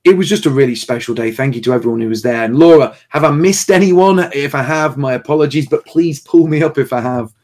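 A man with a slightly hoarse, tired voice chatting casually and haltingly as the host of a livestream. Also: mid-range voice, darkish tone. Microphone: livestream call microphone.

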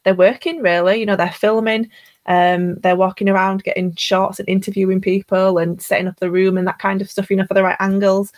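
A woman's voice: medium pitch, little pitch movement, fairly quick, a little mellow. Conversational woman co-hosting a livestream. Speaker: livestream co-host. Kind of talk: casual livestream chat. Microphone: livestream call microphone.

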